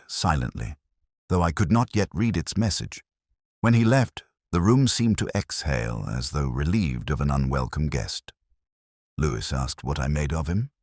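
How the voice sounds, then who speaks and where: Man, very deep bass voice, animated intonation, literary mystery narration, measured, brooding. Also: no echo, studio quality. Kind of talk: scripted first-person detective story narration.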